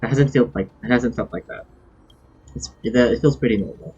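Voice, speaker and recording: A man giving casual live-stream game commentary, thinking aloud in a halting, rambling way. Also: medium pitch, warm tone, breathy edge. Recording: dull, lo-fi stream microphone.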